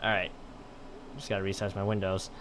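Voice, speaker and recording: A man's voice: deep voice, flat delivery, a little fast, a bit bright. A man commentating on a game stream and reading game text aloud in a rambling way, often stumbling and correcting himself. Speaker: live streamer.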